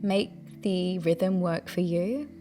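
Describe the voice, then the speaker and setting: A woman leading a guided breathing meditation, giving gentle, soothing instructions in a calm, unhurried way. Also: on the low side, music in the background.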